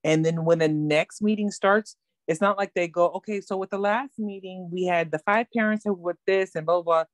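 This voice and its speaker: A woman's voice: lowish voice, moderate pace, dry recording. A woman taking part in an online workshop, talking earnestly with many restarts over a video call. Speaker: workshop participant. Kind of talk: casual discussion in a video call.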